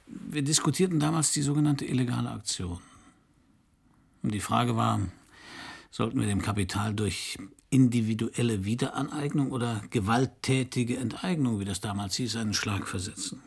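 A man's voice: medium pitch, normal speed, gravelly, slightly rough, no room sound. An actor playing a world-weary private detective in a German crime radio drama, dry and laconic as he talks and narrates. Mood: reflective. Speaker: narrator and lead.

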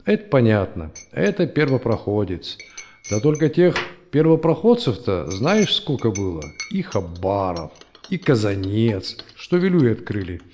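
A man's voice: on the low side, big pitch swings, unhurried, a little mellow, a little hiss or hum. A man playing an old grumbling grandfather in an audio drama, telling stories in a folksy, colloquial way. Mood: curious, skeptical. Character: old grumbling grandfather, fond storyteller of old history.

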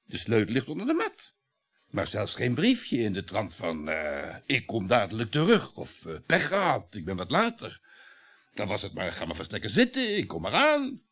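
A middle-aged husband in a radio drama, domineering and uneasy. His pitch moves in wide, lively swings as he needles and mocks. He talks on and on, with a sardonic edge. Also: moderate pace, exaggerated voice. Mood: agitated, needling. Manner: mocking, talkative, sardonic chuckles. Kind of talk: Dutch radio play acting.

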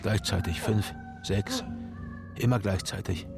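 A man playing a beaten, broken prisoner in a radio drama, speaking in halting fragments. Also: fairly low pitch, gravelly, over music. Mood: haunted. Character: beaten, broken male prisoner recalling his torture. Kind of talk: German radio drama acting.

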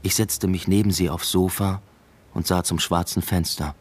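An actor playing a brooding husband and father, narrating a literary radio drama in a measured, introspective way. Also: deep voice, fairly even intonation. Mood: reflective.

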